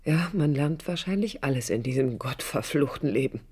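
An actress playing a proud, vulnerable yet resolute woman architect in a radio play, speaking in a reflective, deliberate, emphatic way with a breathy voice. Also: low-pitched, animated, a little mellow. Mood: pained, resolute. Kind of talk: radio play acting, introspective first-person monologue.

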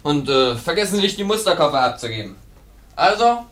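An actor playing a cold, condescending company boss with a brisk, patronising manner in a 1950s German radio drama. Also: male voice, on the high side, very animated, a bit bright.

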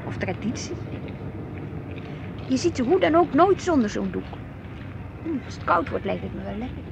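Inquisitive wife, high creaky voice. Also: female voice, full character voice. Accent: Netherlands Dutch. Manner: questioning, earnest. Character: inquisitive, reflective wife and mother, easily piqued at her husband. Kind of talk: naturalistic radio play dialogue. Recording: noisy outdoor street ambience with traffic.

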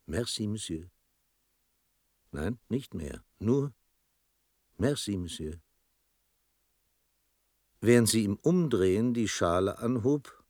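An actor playing a reflective storyteller narrating a literary radio drama in a measured, dramatic manner. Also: male voice, on the low side, darkish tone, no echo, studio quality, heavily acted. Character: reflective male storyteller recalling his own tale.

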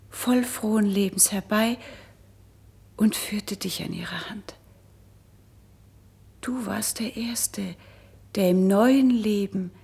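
An actress playing a gentle, wistful noble princess in soft, measured classical verse for radio drama. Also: female voice, medium pitch, full character voice. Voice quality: breathy, husky with some creak. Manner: soft, measured, elevated delivery.

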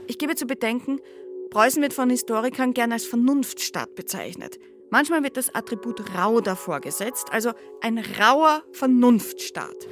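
An actress playing a measured, philosophical commentator in a radio play, speaking deliberately and formally. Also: female voice, a little high, expressive, soft background music, natural voice. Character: measured, reflective female commentator with long professional experience. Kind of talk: essayistic monologue in a radio play.

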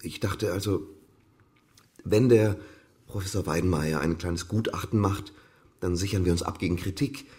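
A man's voice: low voice, normal speed, long pauses, a little mellow, husky. An actor playing a flustered, deferential male assistant at a publishing house in a radio crime drama, hesitant and stammering. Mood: nervous, defensive.

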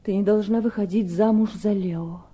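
Fretful, soft-toned aunt played by an actress. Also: female voice, medium pitch, steady tone, normal speed, close to an everyday voice. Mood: anguished. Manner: timid, emotional, anxious. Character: fragile, loving, fretful middle-aged aunt. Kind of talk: 1970s Soviet radio drama acting.

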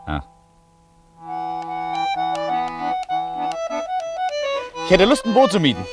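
A man's voice: high voice, wildly swooping pitch, rapid-fire, over loud music. An actor in a German radio play, playing a man's scheming friend, persuasive and casually mocking. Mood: restless.

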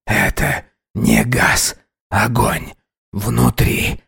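A man voicing a dying, possessed man in a horror story, slow and halting, with a weak, husky, gasping voice. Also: on the low side, level tone, fairly bright tone, no echo, studio quality, exaggerated voice. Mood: weak, desperate. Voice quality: husky, gravelly, whispered in places. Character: dying mutilated man, later a possessed speaking corpse.

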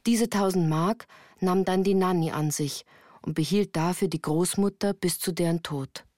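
A woman playing a melancholy narrator who recounts her hard youth in a measured, earnest radio drama style. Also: on the low side, a little mellow, no room sound, clean studio sound, lightly acted. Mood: somber, measured.

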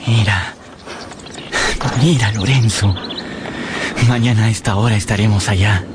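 A man's voice: lowish voice, steady tone, a little slow, noisy background, close to an everyday voice. An actor playing an earnest, lovesick young man who solemnly narrates his tragic memories in a radio drama. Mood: anxious longing. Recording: loud music behind the voice.

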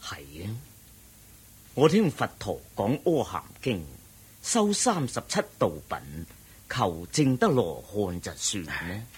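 A venerable old monk in a Buddhist radio play. He is humble and deferential, speaking slowly in a low, very husky voice. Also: full character voice. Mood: humble, grateful. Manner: deferential, expressive. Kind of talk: Cantonese radio drama acting.

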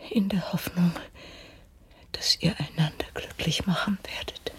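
A woman's voice: on the low side, steady tone. Frail dying mother, whispering. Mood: tender, weak. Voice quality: breathy, weak whisper. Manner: slow, halting, tender. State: gravely weak. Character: dying mother, gentle, pious, whispering weakly. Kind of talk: radio drama acting.

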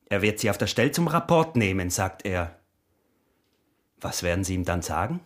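An actor playing a calculating, domineering peasant smuggler and husband in a radio drama, speaking with an Austrian rural accent. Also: male voice, sits low, very animated, moderate pace. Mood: sly satisfaction.

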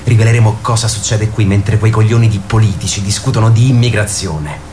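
Radio drama part: male actor as protective young agitator, brash, blunt, on the low side, noisy background. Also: fairly quick, a little mellow, lightly acted. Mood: brash, defiant. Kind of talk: Italian radio theatre acting. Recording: clear background noise.

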